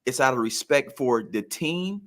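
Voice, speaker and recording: A man's voice: mid-range voice, expressive, measured pace, fairly bright tone. A man in casual sports talk, telling stories like a former player and repeating phrases for emphasis. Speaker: former pro football player, guest storyteller.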